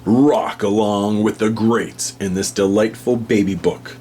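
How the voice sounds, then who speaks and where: Low-voiced man slowly reading a children's book. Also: fairly even intonation, mellow. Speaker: storybook reader. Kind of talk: children's picture book read-aloud. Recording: hum and faint background noise.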